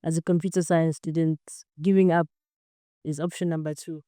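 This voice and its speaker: Young woman, podcast guest, lowish smooth voice, earnest and explanatory, dry close mic. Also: level tone, moderate pace, dark tone, no echo. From Zambia. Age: 20s. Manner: earnest, explanatory, frequent "um" and "basically". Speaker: podcast guest, computer science student. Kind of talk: casual interview answers.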